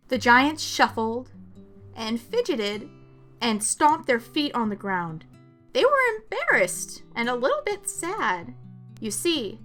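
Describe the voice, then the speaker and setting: A woman's voice: sits high, a bit bright. A woman hosting a children's story time, reading aloud expressively and explaining things simply to young listeners.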